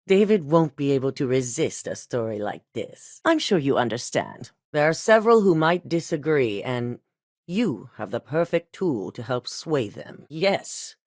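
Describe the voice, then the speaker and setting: A woman voicing a cool, calculating corporate executive in a video game, speaking in a measured, businesslike way. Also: extremely low pitch, very animated, darkish tone, no echo, studio quality, full character voice. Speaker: game character.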